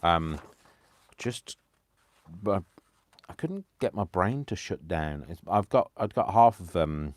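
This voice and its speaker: A man hosting a live crafting stream, chatting casually and poking fun at himself, tired and clearing his throat. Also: low voice, lively intonation, takes it slowly, no room sound. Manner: chatty, self-deprecating, talks to himself. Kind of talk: rambling casual chat while crafting.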